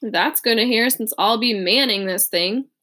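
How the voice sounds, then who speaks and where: A woman's voice: a little high, moderate pace, pushed and bright. A woman voicing a strong, practical young man with a dry, wry way of talking in an audiobook.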